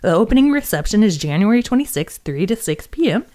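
A woman's voice: mid-range voice, sing-song, fast talker, mellow. A woman hosting a casual art podcast, wry and swearing freely as she chats and reads event listings. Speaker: podcast host. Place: indoor art space studio.

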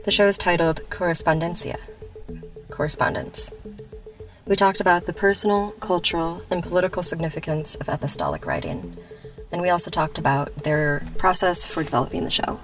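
A woman reporter reads a formal, scripted introduction at an even pace. Her voice sits a little low and is heard from a slight distance. Clear music plays under her. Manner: measured, formal. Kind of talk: scripted narration.

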